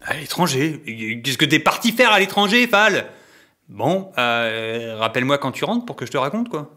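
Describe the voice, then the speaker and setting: A man playing a naive, bewildered male newcomer employee who stammers and gets excited easily. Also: fairly high pitch, moderate pace. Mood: excitable.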